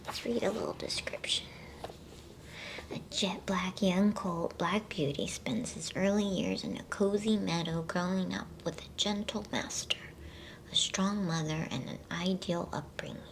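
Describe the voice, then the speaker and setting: A woman whispering softly in a soothing, unhurried way, as an ASMR host reading bedtime stories and chatting to listeners. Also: on the low side, slight background noise, low-quality recording. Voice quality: breathy whisper.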